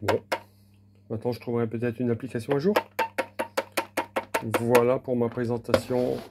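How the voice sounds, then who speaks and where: A man's voice: mid-range voice, little pitch movement, normal speed, over loud music. A man informally presenting a hands-on technical demonstration, explaining plainly and a little hesitantly, without a script. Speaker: hobbyist presenter demonstrating old equipment.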